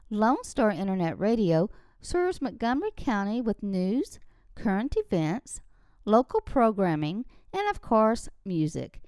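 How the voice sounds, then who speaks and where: A woman radio host delivers a scripted promo in a friendly, inviting way. Her voice sits fairly high and moves animatedly up and down, recorded close and dry. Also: darkish tone, dry recording.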